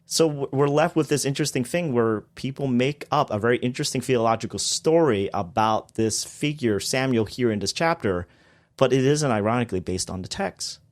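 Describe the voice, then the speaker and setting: A man's voice: mid-range voice, fairly quick. A man, a guest on a podcast, explaining theology in a casual discussion with emphatic bursts on key points.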